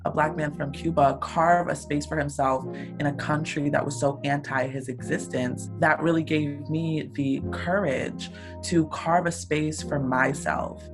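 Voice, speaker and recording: A woman sharing a personal story in a reflective, measured way. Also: low voice, steady tone, music in the background. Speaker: panel participant.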